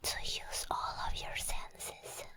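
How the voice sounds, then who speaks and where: A woman playing an obsessed, teasing and menacing sleep paralysis demon in a whispered ASMR roleplay, speaking deliberately and intimately with drawn-out pauses. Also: pushed and bright. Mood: gloating, teasing.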